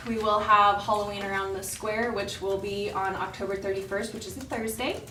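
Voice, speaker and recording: A woman giving a friendly, informal report at a public meeting, with frequent ums. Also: mid-range voice. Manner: friendly, many ums, laughs easily. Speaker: local business organization representative. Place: council chamber. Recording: echoey chamber, distant mic, steady background noise.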